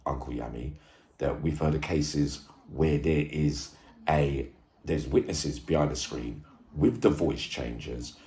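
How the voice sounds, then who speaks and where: A man giving informal commentary to viewers, halting and repetitive, stressing points and checking in with tags. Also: a bass voice, dark tone. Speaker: true crime video host and commentator. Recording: echoey room sound.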